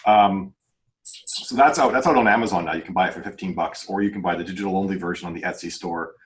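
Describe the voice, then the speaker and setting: A man with a very low-pitched voice talks at a rapid clip. He is chatty and informal, using casual slang as he hosts a relaxed podcast conversation. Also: low-quality recording. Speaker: independent author and podcast host. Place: video call group podcast.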